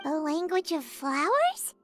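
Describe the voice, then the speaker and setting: A woman voicing a tiny, cheerful, childlike girl fairy companion in a video game, perky and chatty with a squeaky voice. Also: big pitch swings, slow, soft background music, full character voice. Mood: curious. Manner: perky, speaks of self in third person. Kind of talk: scripted video game dialogue.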